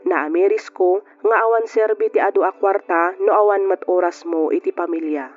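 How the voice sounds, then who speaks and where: Through a narrow, tinny radio band with music behind, an actress plays a regretful young Ilocano woman narrating her own story. Her delivery is earnest, reflective and measured, in old radio drama fashion. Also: fairly low pitch, natural voice.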